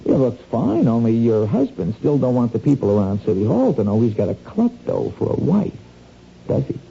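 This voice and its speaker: Sly crook, dark gravelly voice. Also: male voice, mid-range voice, very animated, normal speed. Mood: coaxing, sly. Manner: hesitant, insinuating, folksy slangy talk with ain't. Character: sly, wheedling small-time crook pressing a blackmail scheme. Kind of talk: radio drama acting. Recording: dull, noisy lo-fi radio recording.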